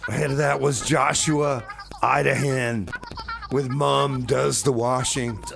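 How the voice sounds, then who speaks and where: A man's voice: mid-range voice, steady tone, takes it slowly, gravelly, music in the background. Laid-back rambling male radio DJ. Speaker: radio DJ and show host. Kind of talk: casual radio chat. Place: radio studio.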